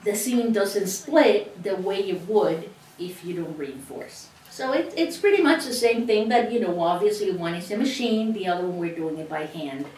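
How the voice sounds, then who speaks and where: A woman's voice: mid-range voice, animated, moderate pace, few pauses, darkish tone, recorded from a distance. A middle-aged woman with a Cuban accent walks through a sewing demonstration, chatty and prone to restarting her sentences. She sits back from the microphone in an echoey room, with a faint hum of ambience behind her. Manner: chatty, explains step by step with many restarts. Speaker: sewing instructor. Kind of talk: hands-on teaching demonstration.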